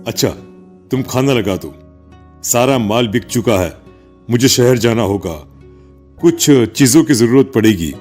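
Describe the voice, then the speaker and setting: A man playing a skeptical, easily rattled village sweet-shop owner in a Hindi animated horror story, with a dramatic, exasperated manner. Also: mid-range voice, unhurried, pauses often, lightly acted. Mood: pleased, busy. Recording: music behind the voice.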